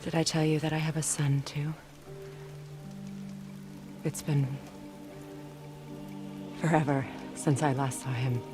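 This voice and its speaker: A woman's voice: low voice, fairly even intonation. A solemn, motherly witch over music. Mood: sorrowful, confiding. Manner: measured, solemn, tender. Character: wise, sorrowful, motherly exiled witch. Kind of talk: dramatic video game cutscene acting.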